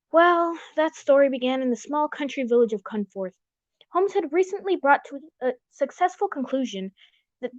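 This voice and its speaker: Dull dry recording, woman acting genial gentleman storyteller, high-pitched, old-fashioned, polite, sentimental, radio drama. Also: no room sound.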